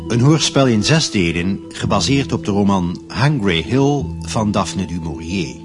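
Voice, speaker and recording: A man's voice: on the low side, expressive, unhurried. A man announcing the title of a radio drama serial in a solemn way. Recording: music behind the voice.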